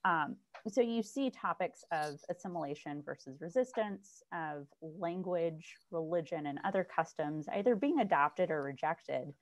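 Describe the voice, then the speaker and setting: A woman moderating a panel over a video call from a room with shelves, asking measured, formal questions. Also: sits low, expressive. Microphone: video call webcam.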